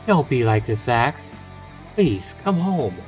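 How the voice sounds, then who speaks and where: A man voicing a caring young woman in a visual novel, gentle and coaxing. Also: medium pitch, big pitch swings, slow pace, music in the background. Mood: pleading.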